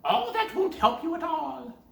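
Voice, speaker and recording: A woman voicing a greedy, impatient old witch in a storybook tale, with a shrill, bossy delivery. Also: lively intonation, measured pace, creaky, fairly bright tone, exaggerated voice. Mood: impatient, fierce. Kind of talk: storybook character voice. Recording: roomy sound, distant from mic.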